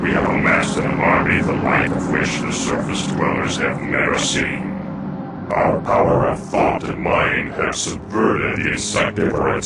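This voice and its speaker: Ancient underworld tyrant for a fantasy game, cavernously echoing, extremely low, imperious and menacing. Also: male voice. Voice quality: very deep, gravelly. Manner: slow, imperious, formal fantasy diction. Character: ancient, menacing male dark overlord of an underground realm. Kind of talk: theatrical fantasy game cutscene acting. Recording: noisy game audio with heavy echo.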